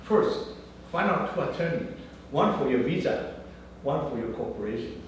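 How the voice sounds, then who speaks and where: A man's voice: fairly high pitch, moderate pace. A man whose first language is not English, giving a business talk and acting out the people in his stories. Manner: animated storyteller acting out dialogue. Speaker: guest speaker. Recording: echoey room, mic far off, faint noise.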